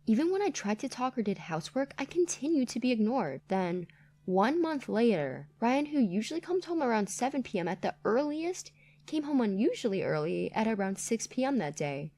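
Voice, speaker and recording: A woman's voice: a little high, very animated, moderate pace, dark tone. A woman reading a scripted first-person family drama as a story narrator.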